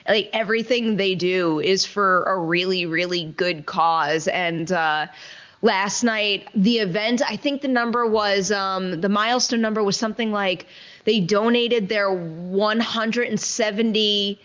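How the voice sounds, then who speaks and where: A woman's voice: medium pitch, measured pace, a bit bright, highs cut off. A woman hosting a casual entertainment news show, chatting in an enthusiastic, effusive way into a studio microphone. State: a little sleepy. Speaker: show host.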